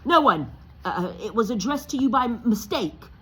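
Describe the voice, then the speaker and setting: A woman's voice: on the high side, expressive, normal speed, fairly bright tone, slight background noise, voice pushed up. A woman voicing a blustering, hot-tempered middle-aged uncle who stammers and barks in a storybook reading. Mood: curt, snappish. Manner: stammering, barking orders.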